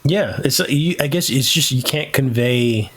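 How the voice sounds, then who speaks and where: A man co-hosting a podcast in casual discussion, often halting as he searches for his words. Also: medium pitch, a bit bright. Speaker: podcast co-host. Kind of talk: casual pop-culture discussion.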